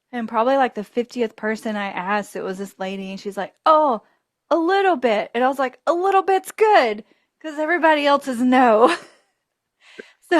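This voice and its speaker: A woman's voice: a little high, no echo, clean studio sound. A woman hosting a podcast, telling a travel mishap with frequent laughter and acted-out dialogue. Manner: animated, laughing storyteller acting out voices in her story. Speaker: podcast host. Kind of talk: casual travel storytelling.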